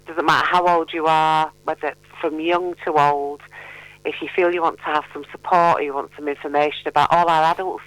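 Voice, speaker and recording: A woman's voice: deep voice, clean tone, dry recording, rough recording. A middle-aged woman chatting as a charity guest in a radio interview, playful and encouraging. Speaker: mental health charity representative, radio guest.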